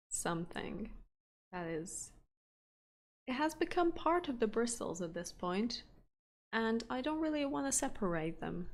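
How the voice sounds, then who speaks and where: Chatty woman. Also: medium pitch. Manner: chatty, rambling, laughs often. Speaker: streamer painting live. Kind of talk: casual chat while painting.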